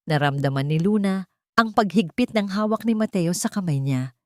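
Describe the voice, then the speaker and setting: A woman's voice: fairly low pitch, lively intonation, moderate pace, no room sound, clean studio sound. A woman narrates a dramatic scripted story in a solemn, emotive way.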